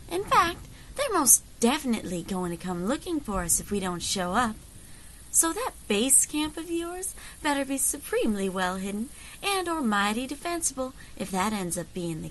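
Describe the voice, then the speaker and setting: A high, very animated woman's voice acting a folksy, unflappable scout leader in a frontier audio drama. She has a drawl and a wry, persuasive way of speechmaking, with noticeable background noise behind her. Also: rough recording.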